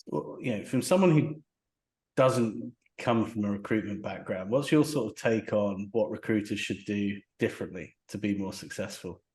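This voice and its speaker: Echoey, low-voiced man hosting an interview. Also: moderate pace, darkish tone. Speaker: podcast host, interviewer. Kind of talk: casual interview questioning. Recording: roomy, echoing sound.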